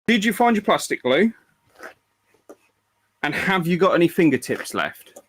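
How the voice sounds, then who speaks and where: A man's voice: high voice, very animated, unhurried, fairly bright tone. A man co-hosting a casual hobby chat, ribbing his friend with dry teasing remarks. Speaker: co-host of a tabletop hobby stream.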